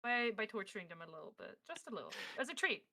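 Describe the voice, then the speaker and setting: A woman's voice: mid-range voice, sing-song, fast, sharp tone. A woman co-hosting a casual livestream, chatting playfully and passing on audience questions. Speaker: co-host reading audience questions.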